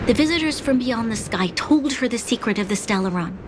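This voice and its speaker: A woman voicing a dutiful young female leader in a game cutscene, with a grave, measured, formal delivery. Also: fairly high pitch, expressive, noisy background, natural voice. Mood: solemn. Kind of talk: video game cutscene voice acting.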